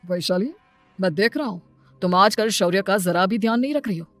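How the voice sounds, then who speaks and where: A woman's voice: mid-range voice, animated, brisk, soft background music, close to an everyday voice. A woman voicing an earnest, plain-spoken young father devoted to his children in a cartoon story. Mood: reproachful.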